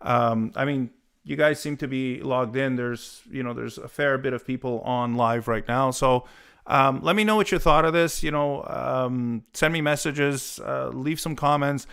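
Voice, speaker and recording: Italian-born male guitar streamer, 40s, casual rambling talk, clear smooth voice, mid pitch. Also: unhurried, fairly bright tone. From Italy. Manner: rambling, with many fillers and restarts. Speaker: guitar channel host. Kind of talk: casual live stream chat.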